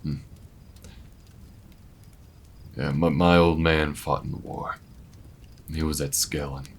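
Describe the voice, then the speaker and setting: A man playing an easygoing, weathered alien bounty hunter in an intimate audio roleplay, talking in a folksy, unhurried way with murmured asides. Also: extremely low pitch, little pitch movement, creaky, slightly rough, full character voice. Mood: gently curious.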